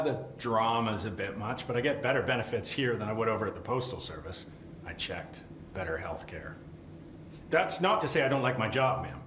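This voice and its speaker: An actor playing a fussy, deadpan middle-aged keeper of strange items, with dry rambling talk and awkward fillers. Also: male voice, lowish voice. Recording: echoey game cutscene with faint hiss.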